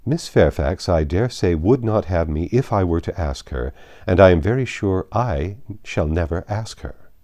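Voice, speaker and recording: A man acting a frank, emphatic country gentleman. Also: deep voice, lively intonation, normal speed, no echo, clean studio sound. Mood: firm, considered. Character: frank, composed English country gentleman, earnest and brusque.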